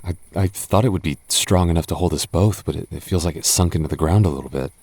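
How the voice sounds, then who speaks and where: Man, young adult, very low voice, boyfriend roleplay, teasing, stammers when nervous. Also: fast, darkish tone, slightly rough, no room sound. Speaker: voice actor playing a goth boyfriend.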